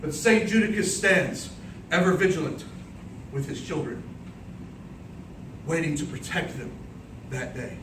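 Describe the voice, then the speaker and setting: A man delivering a theatrical speech as an epic tale, with dramatic, declamatory and deliberate phrasing. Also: on the high side, expressive. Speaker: speech contest speaker. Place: indoor room before an audience. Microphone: distant microphone. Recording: roomy, distant microphone, clearly noisy background.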